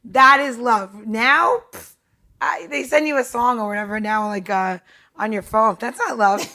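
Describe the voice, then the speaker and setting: A woman with a fairly high voice, co-hosting in loose, casual banter. She is playful and emphatic, stressing her points and drifting happily into side topics. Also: unhurried, bright, forceful tone. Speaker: podcast co-host.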